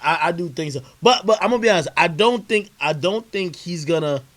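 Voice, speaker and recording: A high-pitched man hosting a livestream debate. He talks in loose, profane street slang. He presses his points insistently and repeats them. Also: animated, fairly quick, fairly bright tone. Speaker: host of a livestream interview. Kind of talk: casual heated argument on a stream.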